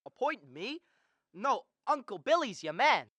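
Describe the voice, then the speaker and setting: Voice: mid-range voice, very animated, a little slow, a bit bright, no room sound. A young performer playing an earnest, outspoken young man with an impassioned manner in a radio drama. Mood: protesting. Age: young adult. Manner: impassioned, defiant. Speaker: student actor.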